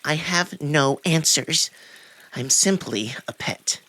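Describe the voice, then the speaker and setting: A man's voice: on the high side, slow pace, bright, forceful tone, no echo, pitched above the performer's own voice, exaggerated voice, low-quality recording. A man voicing a sly, wounded male cat in a visual novel, haughty and evasive, with formal, indignant phrasing. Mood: sullen, guarded. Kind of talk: visual novel character acting.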